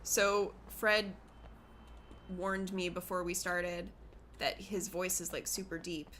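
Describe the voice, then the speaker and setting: A Canadian woman hosting a casual gaming video, joking and reacting quickly, her voice gravelly today. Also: lowish voice, flat delivery, fairly bright tone, slight background noise. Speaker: let's play host.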